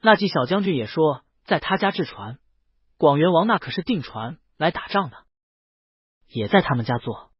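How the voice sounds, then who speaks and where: A man's voice: a little high, very animated, normal speed, husky, no echo, highs cut off, full character voice. A man voicing an earnest, naive, rich young nobleman in an audiobook, candid and eager.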